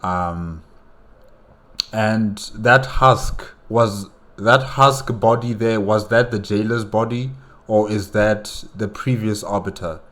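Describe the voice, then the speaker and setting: Deep-voiced man chatting casually, hesitant. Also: slow, a bit bright. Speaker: reaction video host. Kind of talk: casual chat, reacting to game cutscenes.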